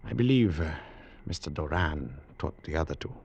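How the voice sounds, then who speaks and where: An actor playing a cold, proud expatriate husband, husky and gravelly, with clipped, formal speech in 1940s radio style. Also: male voice, a bass voice, lively intonation, normal speed, a little mellow, exaggerated voice. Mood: coolly boastful. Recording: dull vintage radio recording.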